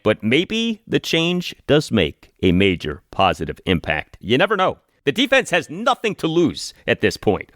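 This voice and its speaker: A man hosting a sports podcast, speaking emphatically in commentary and reads, deliberately repeating his phrases. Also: on the low side, fairly bright tone, no echo, studio quality.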